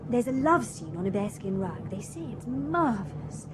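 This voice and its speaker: Shallow cheerful young woman for radio drama: bright, animated, noise behind. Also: fairly high pitch, moderate pace. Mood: chatty. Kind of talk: BBC radio drama acting.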